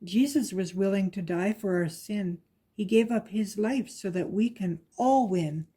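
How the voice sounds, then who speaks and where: A woman reading a rhyming children's picture book aloud with a measured, sing-song delivery. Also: mid-range voice, warm tone, husky. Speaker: guest story reader.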